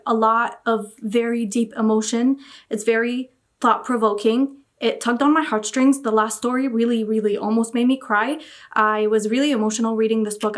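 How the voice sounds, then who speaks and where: Gushing young woman reviewing books. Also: fairly high pitch. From Iraq. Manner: gushing, repeats words like really and very. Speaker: book vlogger. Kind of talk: casual book review chat.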